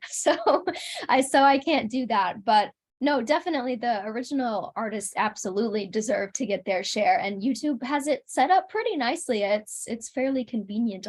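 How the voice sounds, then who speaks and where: A young adult woman speaking as an interview guest, explaining things casually in orderly, articulate sentences. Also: medium pitch. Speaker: interview guest, singer and video creator.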